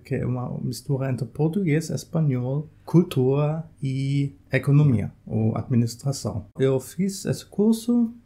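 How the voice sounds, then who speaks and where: A young man with a German accent talks straight to the camera from a small indoor room. His voice is low and warm with a gravelly edge, and his second-language phrasing keeps stopping and restarting. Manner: halting learner's Portuguese with many restarts. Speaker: vlogger. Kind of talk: casual talk to camera.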